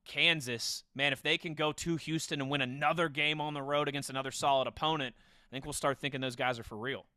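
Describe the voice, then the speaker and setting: A man's voice: fairly high pitch, steady tone, a little fast, sharp tone, dry recording, studio quality. A man hosting a casual football podcast in a conversational way, steering the discussion. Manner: conversational, steers questions to co-hosts. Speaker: podcast host.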